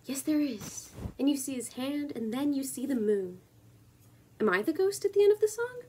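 A woman in her twenties reading poetry and chatting casually on her own video at home, in a measured, deliberate way. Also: high-pitched, lively intonation, mellow. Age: 20s. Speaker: poetry reader and video creator. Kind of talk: spoken word poetry reading with casual introduction. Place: small room at home, indoors.

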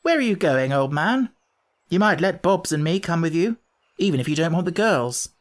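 A woman's voice: on the low side, animated, normal speed, voice pushed up, natural voice. A woman reading a children's storybook, voicing a blunt, practical schoolboy brother with old-fashioned slang. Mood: persuasive.

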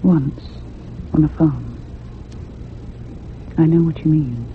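A man playing a troubled, sensitive narrator in a radio ghost story, speaking in measured, earnest, literary phrases. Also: on the high side. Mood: gentle, pensive. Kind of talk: dramatic radio horror narration. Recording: tinny, narrow old radio recording with hum.

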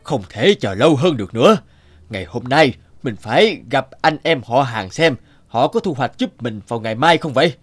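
A man voicing a practical, resolute farmer who muses aloud to himself in an animated folk tale. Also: medium pitch, normal speed, husky, no room sound. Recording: music behind the voice.

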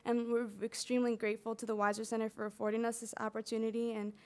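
Clear, higher-voiced young student woman. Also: level tone, quick pace. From United States. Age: early 20s. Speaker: student speaker. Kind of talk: prepared speech at an event, with casual asides. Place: lecture hall, at a podium.

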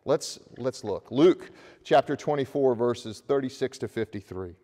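A middle-aged man preaching a sermon from a church pulpit, reading scripture and rising in pitch with energy as he presses his points. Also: unhurried. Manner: animated preaching with raised hands, voice climbing when stressing a point. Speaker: pastor. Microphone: far camera. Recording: long church reverb.

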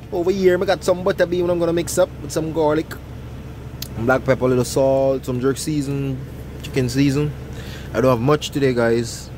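Upbeat trucker vlogging from his cab. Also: mid-range voice. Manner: upbeat, chatty, laughs often, sing-song greetings. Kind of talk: casual vlog tour and cooking show. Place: inside a truck sleeper cab. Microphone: handheld phone camera. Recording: noisy truck cab with engine and AC hum.

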